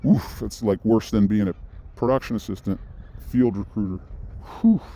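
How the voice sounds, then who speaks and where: A man's voice: lowish voice, normal speed, mellow. A man vlogging, telling a personal story in a loose, rambling way, full of restarts and side notes. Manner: rambling, with frequent restarts and asides. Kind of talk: casual storytelling monologue.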